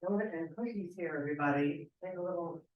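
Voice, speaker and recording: A woman's voice: on the low side, fast talker, roomy sound. A woman chatting casually and informally with people around her. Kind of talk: casual chat. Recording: thin, phone-like room recording.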